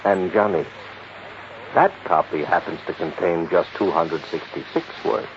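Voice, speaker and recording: Excitable deep-voiced insurance boss, old radio. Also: male voice, unhurried. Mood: insistent, explaining. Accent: American. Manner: emphatic, explanatory, excitable. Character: cigar-smoking, worried, fussy male insurance manager. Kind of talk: 1950s radio drama acting. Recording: muffled, hissy 1950s radio recording.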